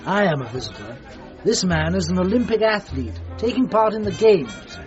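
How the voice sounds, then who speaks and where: A man playing a breezy, condescending upper-class English gentleman in a radio drama, with a jovial, exclamatory manner. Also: high voice, big pitch swings, normal speed, crowd noise. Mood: genial. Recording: muffled radio drama with music behind.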